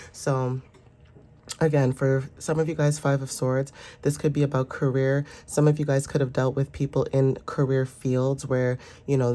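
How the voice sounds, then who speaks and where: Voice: medium pitch, warm tone. A tarot reader with a sinus infection, congested and stuffy, giving a casual, rambling, reassuring reading full of fillers. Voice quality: stuffy, nasal.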